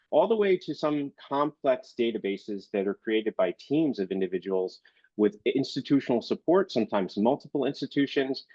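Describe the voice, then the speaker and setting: A man's voice: mid-range voice, a little mellow, dry recording. A man giving a library presentation while congested from illness, hesitant with many fillers. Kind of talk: online presentation. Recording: dull, dry video-call audio.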